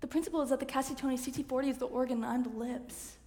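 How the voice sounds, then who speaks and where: A woman performing spoken word in a hushed, breathy whisper. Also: high voice, steady tone, very fast. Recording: long reverb, faint background noise.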